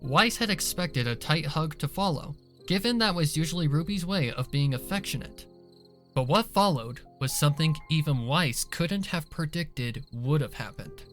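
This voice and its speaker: A man's voice: a little high, animated, moderate pace, fairly bright tone, faint music behind. A man reading scripted dramatic story narration as the narrator of an audio drama.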